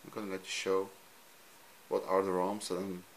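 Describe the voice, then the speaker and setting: Belgian man with a Dutch accent, halting. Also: sits low, monotone, a little hiss or hum, low-quality recording. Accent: Dutch-speaking Belgian. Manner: halting, frequent ums, simple non-native phrasing. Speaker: hobbyist youtuber. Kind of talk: off-camera screen demo. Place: room at home, filming a TV screen.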